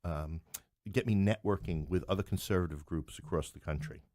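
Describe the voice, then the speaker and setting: A middle-aged man speaks in a low voice, recorded close and dry. He talks conversationally as an interview guest, slipping in wry, joking asides as he explains things. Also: fairly quick, darkish tone, no room sound. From New York City. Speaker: playwright and podcast guest.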